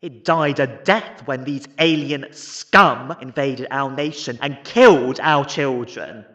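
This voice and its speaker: Slow, pompous, bitter politician. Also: male voice, medium pitch, pushed and bright, dull audio. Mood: bitter, scornful. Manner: pompous, deliberate oratory. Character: bitter, xenophobic male politician, pompous and vengeful. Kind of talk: audio drama parliamentary debate.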